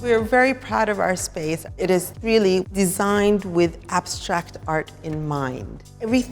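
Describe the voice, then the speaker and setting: A woman's voice: mid-range voice, a little slow. A woman interviewed as a representative of an art museum. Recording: long hall reverb, faint music.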